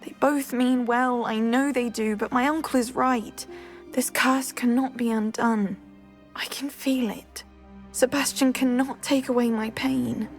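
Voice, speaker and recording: An actress playing a tired, gentle young witch suffering from a curse, speaking softly with sighs. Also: on the high side, fairly even intonation, measured pace, faint music behind. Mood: weary. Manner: soft, tired, sighing. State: weary. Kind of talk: video game cutscene acting.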